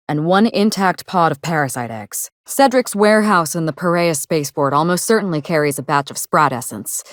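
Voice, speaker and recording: A woman's voice: on the low side, dry recording, close to an everyday voice. An actress playing a sardonic, grieving woman out to avenge her sister in a video game, speaking articulately with dry, controlled sarcasm. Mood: businesslike, determined. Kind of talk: video game dialogue acting.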